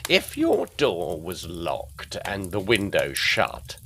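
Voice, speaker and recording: Gruff old-time detective, unhurried, halting, plain-spoken, gothic audio drama, some noise behind. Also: male voice, low voice, huge pitch swings, pushed and bright, full character voice. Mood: musing. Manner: deliberate, halting, working man's turns of phrase.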